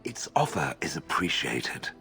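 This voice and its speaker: Stone golem, low heavy voice, detached, formal, deliberate, game dialogue with soft music. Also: male voice, big pitch swings, exaggerated voice. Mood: pensive, formal. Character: huge ancient stone golem, haughty and dry. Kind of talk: video game character acting.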